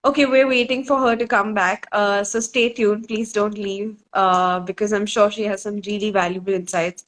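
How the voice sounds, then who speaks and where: A woman hosting a live online interview over a video call, asking her questions in a polite, measured way. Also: mid-range voice, fairly even intonation, fairly bright tone. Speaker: host of a live online discussion. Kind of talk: casual live-stream interview.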